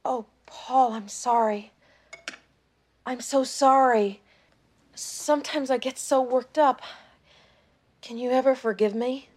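A woman's voice: fairly even intonation, slow pace, long pauses, darkish tone. An actress playing a volatile middle-aged woman, an obsessive fan holding her captive, in a film scene. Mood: apologetic. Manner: swings from shrill outbursts to sugary apology.

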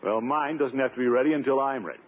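A man's voice: mid-range voice, quick pace, close to an everyday voice. A man playing a pompous, blustering middle-aged uncle in 1950s radio comedy style, indignant and self-important. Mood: stubborn. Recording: tinny, noisy 1950s radio recording.

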